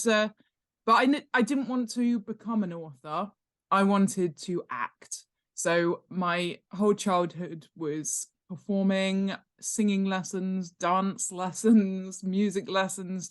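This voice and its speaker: A woman's voice: medium pitch, fairly even intonation, takes it slowly. A woman in her forties chatting casually as a podcast guest, with a playful, joking manner. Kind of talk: casual interview chat.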